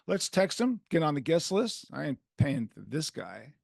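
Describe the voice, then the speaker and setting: An older New York man hosts a podcast in a casual, rambling way, slipping into animated voices when he quotes people. His voice is gravelly and sits fairly high for a man. The sound is dry and close to the mic. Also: normal speed, no room sound. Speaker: podcast host.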